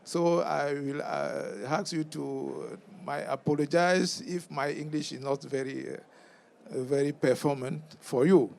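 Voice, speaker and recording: A man from Cameroon, a French speaker with a Cameroonian French accent, giving halting remarks as a member of parliament on a conference panel, full of fillers and restarts. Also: a little high, vocal fry, a little mellow.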